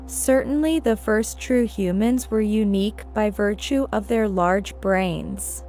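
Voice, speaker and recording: Female narrator for inspirational quote readings, pitched a little high, light music bed. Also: darkish tone.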